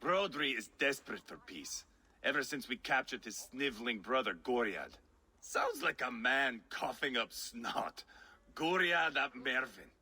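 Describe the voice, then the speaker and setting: An actor voicing a fierce, mocking, bloodthirsty Viking warrior in a video game, with a crude, theatrical, taunting manner. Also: male voice, medium pitch, animated, a little slow, pushed and bright, slightly rough, heavily acted. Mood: scornful. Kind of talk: video game character acting. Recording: music behind the voice.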